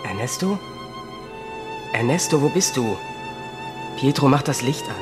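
A man's voice: mid-range voice, expressive, unhurried, music in the background, natural voice. An actor playing a young male military cadet, groggy and irritable, in a German radio drama. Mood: alarmed. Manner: sleepy, irritable, dismissive.

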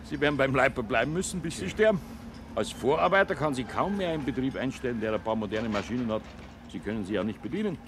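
A man's voice: mid-range voice, animated, fast talker, background noise, lightly acted. A man with a Bavarian accent playing a sly, self-assured building contractor who talks down to his men in a naturalistic drama. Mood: condescending. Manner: patronising, commanding. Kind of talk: naturalistic film drama dialogue. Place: outdoor building site.

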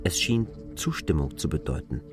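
A man reads literary prose as an expressive storyteller. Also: very deep voice, normal speed, music in the background. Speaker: narrator of a literary classic. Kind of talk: scripted literary narration.

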